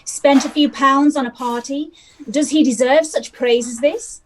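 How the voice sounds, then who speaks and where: A woman's voice: high-pitched, steady tone, a little slow. A woman playing a stern, questioning ghostly spirit in an amateur radio play. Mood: probing. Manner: questioning, commanding. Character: Ghost of Christmas Past, probing, stern spirit. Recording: noisy home video call.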